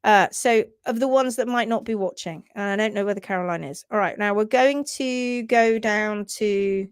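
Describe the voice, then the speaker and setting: A woman hosting a live stream on hoof care, talking quickly and repeating herself, laughing often as she reads out viewer posts. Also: medium pitch, clean tone, no echo, clean studio sound. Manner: rapid-fire, repeats words, chatty. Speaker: livestream host, hoof care teacher. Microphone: livestream setup.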